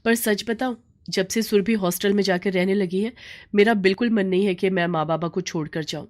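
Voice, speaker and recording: A woman voicing a gentle, dutiful young daughter who speaks earnestly in a storybook tale. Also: medium pitch, a little fast, natural voice. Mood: downcast.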